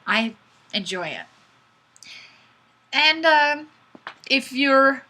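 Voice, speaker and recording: Goofy lady hosting a livestream chat, bright voice, animated, casual and kinda exclamatory. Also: on the high side. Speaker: live stream host. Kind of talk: casual chat, reading viewer comments aloud.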